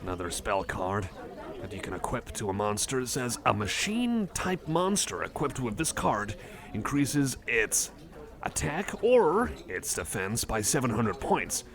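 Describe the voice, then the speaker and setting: A man voicing a friendly, nerdy cyborg card collector in an ASMR roleplay, rambling casually with a halting, apologetic manner. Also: mid-range voice, very animated, a bit bright. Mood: curious, casual. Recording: cafe chatter behind the voice. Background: cafe crowd ambience.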